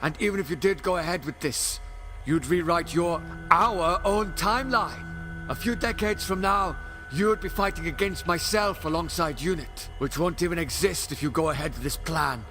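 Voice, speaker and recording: Indignant alien hero , male actor, high voice, grave and declamatory, fan audio play with prominent music. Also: normal speed, pushed and bright. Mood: grave warning. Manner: impassioned, declamatory. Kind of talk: audio drama acting.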